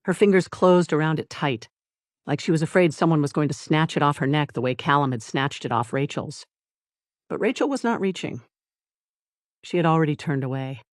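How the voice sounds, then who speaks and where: Dry, measured dramatic audiobook narrator. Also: mid-range voice, no room sound. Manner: measured, deliberate, dramatic short phrases with pauses. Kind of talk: scripted dramatic story narration.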